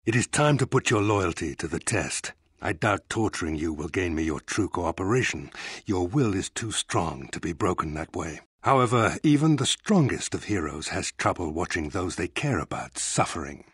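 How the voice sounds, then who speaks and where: A man's voice: deep voice, lively intonation, normal speed, fairly bright tone, husky, no room sound, clean studio sound, exaggerated voice. An actor playing a cold, smug older male fleet admiral in a sci-fi game, speaking with formal, condescending menace. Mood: smug, menacing. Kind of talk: dramatic video game acting.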